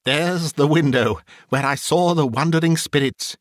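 A man's voice: fairly high pitch, unhurried, no room sound, studio quality, voice pushed up, close to an everyday voice. A man voicing a reformed old miser bursting with joy and tearful emotion, exclaiming and whooping in a dramatic storybook reading. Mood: elated. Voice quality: voice breaking with emotion.

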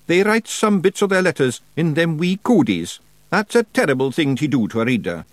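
A man's voice: fairly high pitch, very animated, moderate pace, no room sound, pitched above the performer's own voice. A man voicing a cocky tiny blue fairy clan chief with a broad Scottish accent and a blunt, scornful manner. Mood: matter-of-fact.

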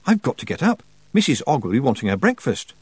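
A man voicing a practical young girl witch who speaks in a sharp, commanding way. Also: mid-range voice, big pitch swings, quick pace, slightly rough, no echo, pitched above the performer's own voice. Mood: insistent. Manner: insistent, commanding.

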